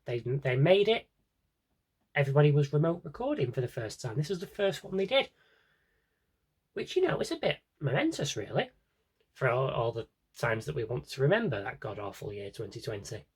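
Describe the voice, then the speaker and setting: A young British man with a mid-pitched, husky voice talks casually, as if showing things to friends. His pitch swings around in a lively way, and he wanders into dry, self-deprecating asides. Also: fairly quick. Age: young adult. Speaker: video creator. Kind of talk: casual, chatty collection show-and-tell.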